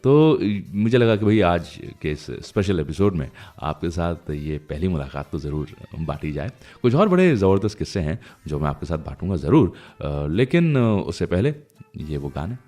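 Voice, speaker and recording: Male radio host, deep register, animated melodic delivery, nostalgic anecdotes, unhurried pauses, conversational tone. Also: soft background music. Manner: nostalgic storytelling.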